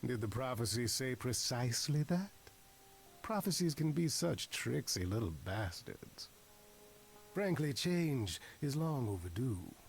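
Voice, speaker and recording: A man's voice: medium pitch, very animated, measured pace, vocal fry, soft background music. An actor voicing a mischievous male trickster god in a video game, teasing and theatrical with eloquent phrasing. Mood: wry, persuasive.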